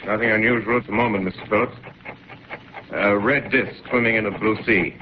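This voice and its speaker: A man plays a calm, self-assured astronomy professor with a low voice, dismissing wild theories in a 1930s radio play. He speaks briskly in a dull, muffled broadcast sound under loud hiss. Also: close to an everyday voice. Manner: measured, assured, scholarly. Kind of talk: 1930s radio drama acting.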